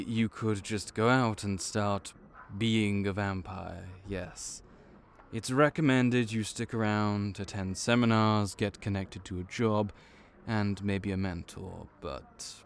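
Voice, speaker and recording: A man playing a low, slow, deadpan vampire clerk. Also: a little hiss or hum. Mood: easygoing. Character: laid-back male vampire clerk and mentor. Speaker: audio roleplay voice actor. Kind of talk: audio roleplay, speaking to the listener.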